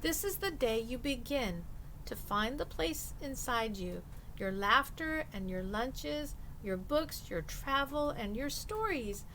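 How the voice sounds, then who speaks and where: High-voiced woman reading a storybook, noisy recording. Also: pushed and bright. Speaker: storyteller reading a picture book aloud. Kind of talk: expressive children's read-aloud.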